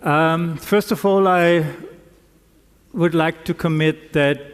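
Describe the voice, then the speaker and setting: Slow-talking non-native speaker at a lectern. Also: male voice, fairly high pitch, steady tone, smooth. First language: not English. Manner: slow, deliberate, self-deprecating humor. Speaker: conference speaker. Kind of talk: conference talk. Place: conference hall. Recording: reverberant conference hall.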